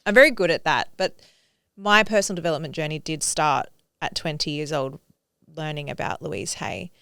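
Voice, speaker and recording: A woman's voice: low-pitched, normal speed, bright, forceful tone, clean tone, no room sound, studio quality. A woman in her thirties co-hosting a podcast, talking casually and upbeat with frequent laughs and fillers. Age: 30s. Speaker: podcast co-host. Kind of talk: casual conversation.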